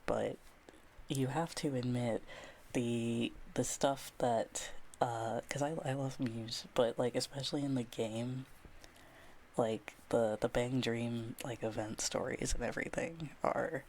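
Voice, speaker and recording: A woman streaming live ASMR, talking softly and often dropping into a whisper, rambling with restarts and small laughs. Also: a bass voice, unhurried, a little hiss or hum. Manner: rambling and self-deprecating, with many restarts and soft laughs. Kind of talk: soft-spoken ASMR chat, drifting into whispers. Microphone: close ASMR mic.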